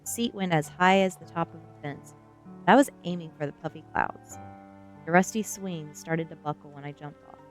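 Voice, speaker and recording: Low-voiced woman reading a story aloud. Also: moderate pace, faint music behind. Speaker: streamer reading a novel aloud. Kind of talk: ASMR reading, first-person storybook narration.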